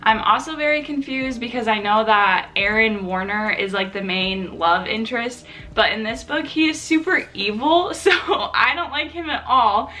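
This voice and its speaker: A woman's voice: fairly high pitch, moderate pace, hardly any pauses, sharp tone. A woman in her mid-twenties chatting casually to camera about the books she is reading, with plenty of filler words. Her throat is sore and her nose stuffy from allergies. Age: 20s. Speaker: book vlogger.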